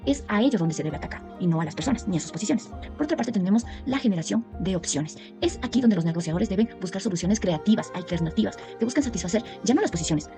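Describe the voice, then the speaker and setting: A woman's voice: medium pitch, animated, quick pace, hardly any pauses, over music. A woman giving an explanatory academic presentation as a student, setting out her points in a didactic way. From Ecuador.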